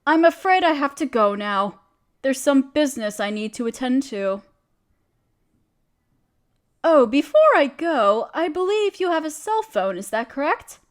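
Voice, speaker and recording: A woman's voice: high-pitched, very animated, measured pace, pauses often. A woman playing a friendly, genteel witch who chats kindly in quaint, dainty phrases, in an audio roleplay. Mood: fond. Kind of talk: scripted audio roleplay speaking to the listener.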